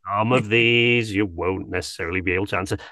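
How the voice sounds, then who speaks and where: A man's voice: lowish voice, quick pace, pushed and bright, no echo. A man in his thirties hosting a casual video-call interview from a small home studio, upbeat and chatty, wearing a headset. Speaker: host. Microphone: headset over a cap.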